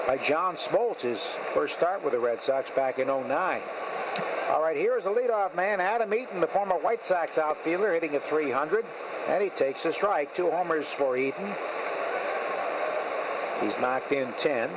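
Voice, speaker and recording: A man calls a live baseball game as a radio play-by-play announcer. His voice sits a little high, and the broadcast sound is dull, with very loud stadium ambience behind him. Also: moderate pace, background noise. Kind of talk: live sports commentary.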